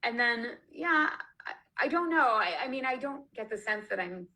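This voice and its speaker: Bright, chatty woman author on a call. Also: fairly high pitch, animated, normal speed. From Canada. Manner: chatty, laughs often, many fillers and restarts. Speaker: author being interviewed. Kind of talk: casual conversational interview. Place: home, online video call. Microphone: video call.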